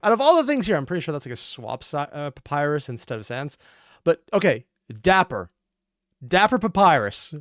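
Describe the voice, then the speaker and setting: A man's voice: fairly high pitch, big pitch swings, a little fast, a bit bright, no echo, clean studio sound. A man giving casual, sweary, exclamatory reaction commentary as the host of a video.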